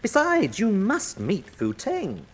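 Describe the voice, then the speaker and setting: Suave, menacing colonel villain, swooping voice. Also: male voice, sits high, huge pitch swings, slow pace, full character voice. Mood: sardonic, courteous. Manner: suave, theatrical, mocking. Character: menacing, urbane villainous colonel, male, sardonic and cruel. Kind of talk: radio drama acting.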